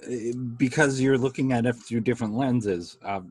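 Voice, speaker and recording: A man hosting a casual interview chat, with a deep bass voice and a halting, filler-heavy way of talking. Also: darkish tone, husky, no echo. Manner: halting, many fillers and restarts, laughs often. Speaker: podcast host.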